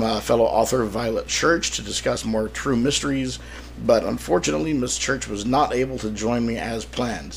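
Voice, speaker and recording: Rough-voiced, creaky man hosting a podcast. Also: mid-range voice, slight background noise. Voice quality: slightly rough, throat-clearing. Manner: wry, self-deprecating joking. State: sick, rough throat. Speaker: podcast host and author. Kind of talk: casual solo podcast monologue.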